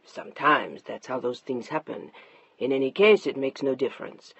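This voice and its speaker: A narrator voicing a middle-aged husband who speaks low, calm and unyielding, in a slightly muffled dry recording. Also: male voice, normal speed, slightly rough, dry recording. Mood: steely calm. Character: stern controlling husband, middle-aged, quiet and steely.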